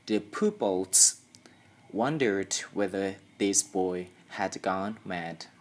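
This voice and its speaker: A young Thai-accented student reads a story aloud at a slow pace. The voice sits low, and the delivery is careful and a little halting, in the manner of an amateur audiobook. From Thailand. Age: young adult. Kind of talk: scripted story narration.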